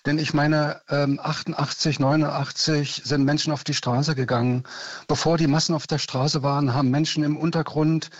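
A man's voice: on the high side, little pitch movement, moderate pace, no echo. A man in his sixties from East Germany, calling in to a radio show and speaking earnestly, like giving a small speech. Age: 60s. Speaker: radio caller. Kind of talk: phone-in call. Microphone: phone. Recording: lo-fi dry phone line.

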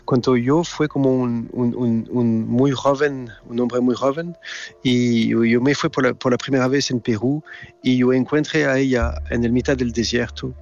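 Halting Swiss man speaking Spanish. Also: medium pitch, fairly even intonation, creaky, a little mellow, lo-fi sound. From Switzerland. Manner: halting non-native speech with many restarts. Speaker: guest filmmaker. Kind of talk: remote interview.

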